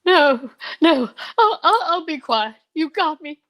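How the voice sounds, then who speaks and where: Slow, high, frantic cornered killer played by a woman. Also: animated, fairly bright tone, full character voice. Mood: frantic confession. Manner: stammering, overwrought. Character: frantic, cornered male killer confessing, whining and gloating. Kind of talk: melodramatic old-time radio acting.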